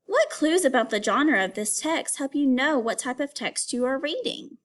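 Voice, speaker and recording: Lady teacher reading to little kids, voice kinda high and really up and down, asking them questions as she goes. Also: expressive, moderate pace. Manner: instructional, addresses children directly with questions. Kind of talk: educational read-aloud for young children.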